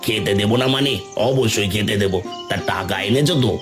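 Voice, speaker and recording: A man's voice: fairly low pitch, brisk, lightly acted. A man voicing a scornful, scheming food-stall owner in a cartoon. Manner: hawking, sneering. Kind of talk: cartoon voice acting. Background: music.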